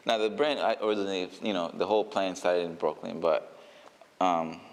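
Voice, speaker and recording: A man's voice: low voice, fairly even intonation, a little fast, in a large hall. A man from Uganda, a brand founder, answering interview questions casually with many fillers and restarts.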